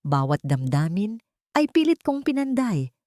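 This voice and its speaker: A woman narrating a romance story in a gentle, measured, heartfelt way; she is the writer of the story. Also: mid-range voice, sing-song, dry recording.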